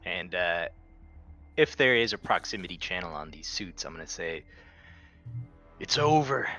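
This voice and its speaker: Man, deep but bright voice, playful, blunt game player, casual delivery with music behind. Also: sing-song, unhurried. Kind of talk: casual tabletop game play.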